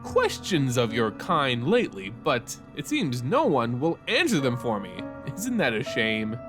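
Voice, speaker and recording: A man's voice: mid-range voice, huge pitch swings, unhurried, over music, pitched below the performer's own voice. Man voicing a smug, menacing vampire noblewoman. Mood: cool menace. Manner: cool, probing, mock-polite. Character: menacing, smug aristocratic vampire lady who consorts with demons.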